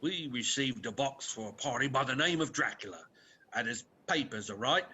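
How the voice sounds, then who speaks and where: Raspy, animated sea captain, played by a man. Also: mid-range voice, normal speed, muffled recording. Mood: brusque. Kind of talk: radio play acting.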